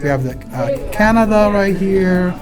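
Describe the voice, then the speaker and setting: A man's voice: high-pitched, lively intonation, measured pace. A man hosting a casual live radio interview, often interjecting and echoing what he hears. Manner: interjects and echoes the guest's words. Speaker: radio host. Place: live radio broadcast at a market pop-up event. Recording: loud music behind. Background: loud music.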